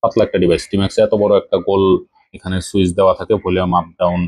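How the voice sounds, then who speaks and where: A man's voice: deep voice, flat delivery, very fast, a little mellow, no echo. A man with a Bangladeshi accent talking casually as a motorcycle vlogger reviewing gear. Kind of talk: casual product review and vlog talk.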